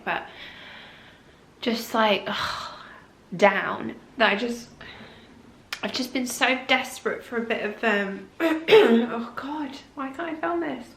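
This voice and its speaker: A woman's voice: medium pitch, gravelly, fairly bright tone. A young woman vlogging in a casual, rambling and apologetic way while congested with a cold. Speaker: lifestyle vlogger. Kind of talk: casual chat to camera.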